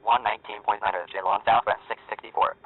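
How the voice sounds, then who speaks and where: A man voicing an airline pilot who reads back air traffic clearances in clipped radio phrasing. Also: fairly low pitch, steady tone, no room sound, lightly acted. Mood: routine. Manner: quick clipped radio readbacks. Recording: narrow radio band.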